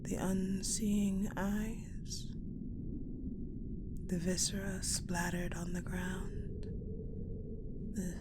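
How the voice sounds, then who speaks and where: A woman playing a sadistic, ice-powered female general in an audio roleplay, coldly menacing and controlling, deliberate and taunting, often dropping to a whisper. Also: lowish voice, little pitch movement, heavy background noise. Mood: taunting interrogation. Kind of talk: dramatic audio roleplay acting.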